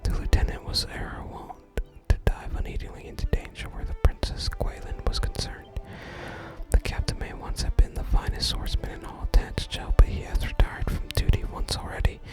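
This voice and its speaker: A person whispering through an ASMR video game playthrough, reading the game's text and commenting quietly. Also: normal speed. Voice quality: whispered, unvoiced. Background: game music.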